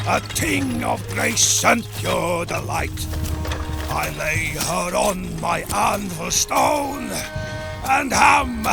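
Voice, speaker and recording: An actor playing a boisterous, bawdy travelling Viking smith who is blunt and coarse, in a video game cutscene. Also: male voice, high voice, vocal fry, full character voice. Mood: bawdy, merry. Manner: blunt, coarse, sings lustily.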